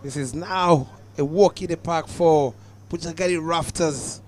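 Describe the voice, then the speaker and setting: A young Ugandan man giving live cricket commentary, calm and measured, lifting his voice on the big moments. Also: fairly high pitch.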